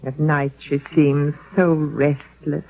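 An actress playing a frail, dying old peasant mother, fretful and fond, with a creaky, quavering voice in a 1940s radio drama. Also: deep voice, flat delivery, slow, a little hiss or hum. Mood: gossipy, fond. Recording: tinny, band-limited 1940s radio broadcast.

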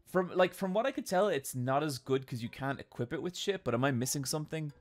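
A man's voice: a little high, expressive, a little fast. A man in his thirties with an Irish accent, chatting casually with his live chat while playing a game in his bedroom. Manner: chatty, reads and answers chat, swears casually. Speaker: streamer. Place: home bedroom. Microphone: desk mic with webcam.

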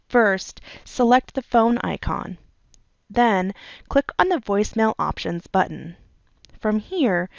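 A woman narrates a software tutorial from a script. Her delivery is measured and methodical, going step by step. Her mid-pitched voice has a clear fry to it, recorded very dry with no room sound. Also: dry recording.

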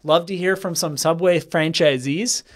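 A man's voice: a little high, normal speed. Skeptical man talking business to camera. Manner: skeptical, talks with his hands. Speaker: franchise consultant and channel host. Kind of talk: direct-to-camera business commentary. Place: small bright indoor room with bookshelf and plant. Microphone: desk microphone in front of him.

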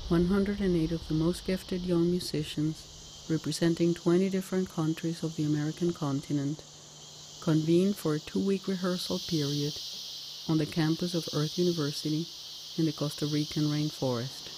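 A narrator giving a measured, formal documentary voice-over. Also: medium pitch, fairly even intonation, noisy background. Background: orchestral music.

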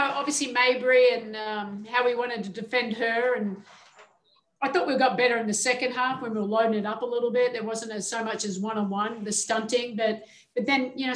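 A middle-aged woman head coach answering questions at a postgame press conference, speaking candidly with plenty of fillers and restarts. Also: a little high. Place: indoor media room before a team backdrop. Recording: echoey room sound.

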